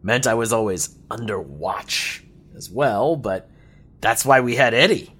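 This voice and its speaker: Animated, wry male revolutionary envoy. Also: medium pitch, a little slow, slightly rough. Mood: wry, rambling. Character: chatty, wry, anxious revolutionary envoy, joking at briefings. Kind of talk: audio drama acting, rambling storytelling.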